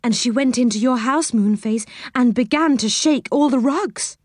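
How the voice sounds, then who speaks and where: A woman voicing a lively, put-out female friend in a storybook, chatty and exasperated. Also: on the high side, normal speed, no echo, clean studio sound, voice pushed up, natural voice.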